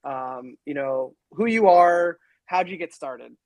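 Friendly upbeat guy hosting a podcast, kinda high voice, dry mic. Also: expressive, slow pace, a little mellow, no echo, poor audio. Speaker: podcast host. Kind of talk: casual interview chat.

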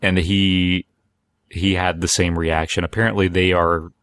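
A man's voice: deep voice, little pitch movement, a little slow, fairly bright tone, a little hiss or hum. A man hosting a casual podcast discussion, feeling his way through sentences in a hesitant, meandering way. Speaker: podcast host.

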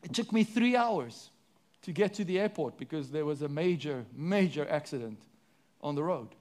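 A man with a South African accent preaching a sermon to his congregation, asking the room questions and swinging between emphatic and hushed delivery. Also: fairly high pitch, lively intonation, moderate pace. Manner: preaching with sweeping rises and drops, rhetorical questions. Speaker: pastor.

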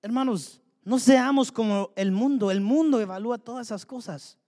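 High-voiced man preaching in a reverberant hall. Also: normal speed, dark tone. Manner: fervent, rhetorical questions to the congregation. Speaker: pastor. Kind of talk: sermon.

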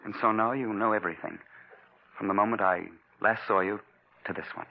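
A man's voice: on the low side, a little fast, creaky. An actor playing a troubled, earnest man in a 1940s radio drama, heard on a muffled, noisy old recording. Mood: earnest, insistent.

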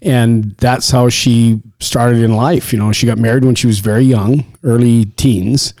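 A middle-aged man in casual conversation, reflective and quick, often saying "you know". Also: mid-range voice, fairly even intonation, mellow, no room sound.

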